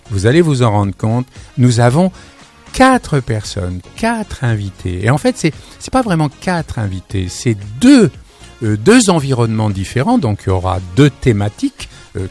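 A man hosting a radio show from a studio, chatty and exclamatory, wandering into asides as he presents and interviews his guests. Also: mid-range voice. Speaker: radio host. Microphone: studio broadcast microphone.

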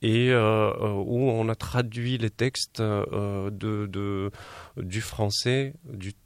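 A Moroccan-born man whose first language is Arabic, an opera baritone and director, answering radio interview questions with many hesitations and restarts. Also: fairly even intonation, takes it slowly, clear, resonant tone, dry recording. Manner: baritone singer who places his voice.

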